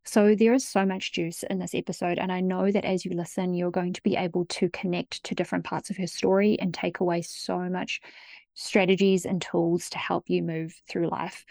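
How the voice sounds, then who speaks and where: A woman's voice: fairly low pitch, level tone, moderate pace, gravelly, a little mellow, no room sound, studio quality. A woman hosting a podcast, reading a guest introduction and then chatting enthusiastically with her guest. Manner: enthusiastic, effusive praise. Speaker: podcast host. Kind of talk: warm podcast interview intro and chat.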